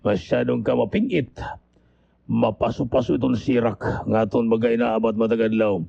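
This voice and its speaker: A muffled AM broadcast carries a man's dark, relaxed voice at middle pitch. He has a Waray accent from the Eastern Visayas. He chats casually as a morning host, tossing questioning tags to listeners. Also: normal speed, creaky, dry recording. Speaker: early morning radio host. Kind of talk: casual live radio greetings to listeners. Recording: dull AM radio broadcast.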